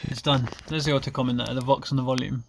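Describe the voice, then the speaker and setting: A man's voice: medium pitch, steady tone, fast talker, vocal fry, slight background noise. A man talking casually and off the cuff as he presents a hands-on DIY demonstration, informal and self-deprecating. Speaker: car hobbyist presenting a DIY video.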